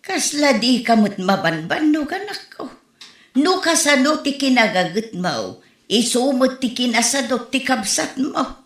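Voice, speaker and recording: A woman's voice: high-pitched, very animated, unhurried, fairly bright tone, full character voice. A woman playing an exasperated, scolding mother of grown daughters in a comedy audio drama. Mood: weary, chiding. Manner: nagging, chiding. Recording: echoey, roomy sound.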